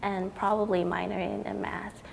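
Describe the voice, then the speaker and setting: A woman's voice: mid-range voice, flat delivery, moderate pace, creaky. A young woman from Taiwan, a student artist, talking casually in an interview with frequent ums and likes. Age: young adult. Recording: reverberant hall with faint noise.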